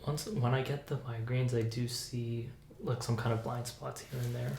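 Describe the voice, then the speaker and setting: A soft-spoken young adult playing a patient in an ASMR roleplay, giving hesitant, understated answers. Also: medium pitch, fairly even intonation, normal speed, gravelly. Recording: echoey room, faint hiss.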